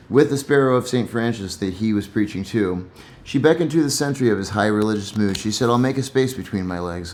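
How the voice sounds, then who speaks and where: A man who reads and comments on poetry in a casual, reflective way, somewhat hesitant and repeating himself. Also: lowish voice.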